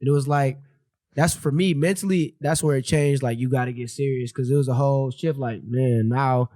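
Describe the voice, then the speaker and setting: A young man talking casually on a podcast, rambling through stories with slang and frequent restarts. His voice sits at an ordinary pitch and sounds close and dry, with no room echo. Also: fairly even intonation, normal speed, warm tone. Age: 20s. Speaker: podcast guest. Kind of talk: casual chat.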